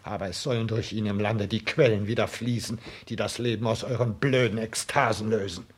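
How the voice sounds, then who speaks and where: A man's voice: lowish voice, steady tone, brisk, slightly rough. A man playing a bitter, zealous petty secretary in a 1960s German radio play, declaiming with scorn. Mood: scornful.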